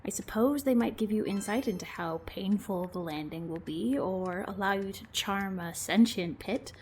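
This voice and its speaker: A woman's voice: medium pitch, normal speed, darkish tone, a little hiss or hum, natural voice. A woman playing a matter-of-fact dungeon master explaining rules firmly in a comedy audio drama. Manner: explanatory, dryly firm. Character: dry, matter-of-fact female tabletop game dungeon master.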